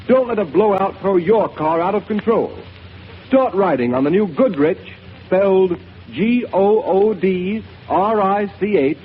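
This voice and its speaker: A man's voice: high-pitched, slight background noise. Emphatic vintage radio announcer, man. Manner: emphatic, salesy. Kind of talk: 1930s radio announcing and ad read. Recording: tinny 1930s radio recording.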